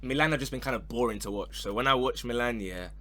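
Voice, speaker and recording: Bright, forceful man hosting football chat. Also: medium pitch, fast. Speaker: podcast host. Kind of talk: casual football panel talk.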